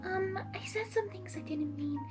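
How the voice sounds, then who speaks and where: A woman voicing a timid, anxious young female pegasus pony in an expressive storybook reading, hesitant and pleading. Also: very high voice, moderate pace, over music, voice pushed up. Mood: timid, unsure.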